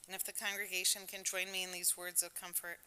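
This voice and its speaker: Bright-voiced woman chanting prayers in a hall. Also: lowish voice, clear, resonant tone. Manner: chanting prayer in measured, steady cadence. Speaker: service leader. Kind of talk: leading a prayer service. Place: synagogue sanctuary. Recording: echoey sanctuary hall.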